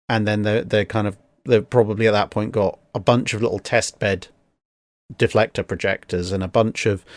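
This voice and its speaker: A man's voice: on the low side, no room sound. A man chatting casually and analytically, rambling a little as he thinks aloud. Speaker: discussion guest.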